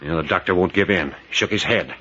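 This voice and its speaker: An actor playing a resolute American captain in a 1940s radio adventure, commanding and dramatic, with a raspy, widely swinging voice. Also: male voice, low voice, brisk, heavy background noise, natural voice. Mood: tense, amazed. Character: resolute, quick-thinking captain leading an adventure team. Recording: muffled vintage 1940s radio recording.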